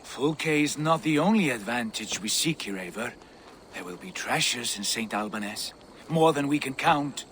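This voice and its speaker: An actor playing a proud, ambitious Viking jarl in a game cutscene, speaking with imperious, persuasive intensity. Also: male voice, mid-range voice, animated, moderate pace, fairly bright tone, a little hiss or hum. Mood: eager, confident. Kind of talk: video game cutscene dialogue.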